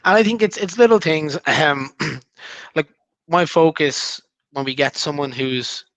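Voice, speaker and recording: A man with an Irish accent, a recruiter guest on a chat show, easygoing and relaxed. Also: a little high, unhurried, dry recording. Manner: easygoing, relaxed, hesitant fillers. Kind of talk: casual interview talk.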